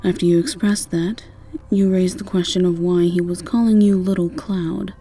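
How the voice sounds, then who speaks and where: A woman's voice: lowish voice, steady tone, normal speed, mellow, soft background music. A woman narrating a scripted fantasy roleplay in the second person, with a wry storyteller's delivery. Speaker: narrator and voice actor.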